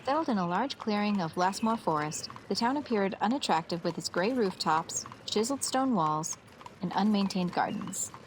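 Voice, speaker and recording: A woman's voice: mid-range voice, animated, normal speed, slight background noise. A female narrator tells a scripted fantasy tale in a mid-range voice. She reads as the storyteller of an audio drama, with faint ambience of animals and clopping hooves behind her.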